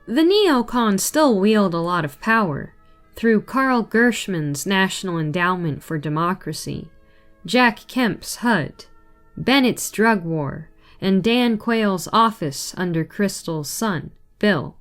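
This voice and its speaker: A woman reading a written political article aloud in a measured, deliberate way. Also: medium pitch, expressive, faint music behind. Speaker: reader.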